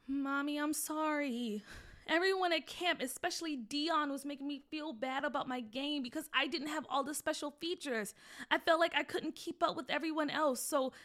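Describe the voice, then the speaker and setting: A woman's voice: high-pitched, fairly bright tone, pitched above the performer's own voice. A woman voicing a young boy who is sheepish and apologetic, speaking in a hesitant, pleading way.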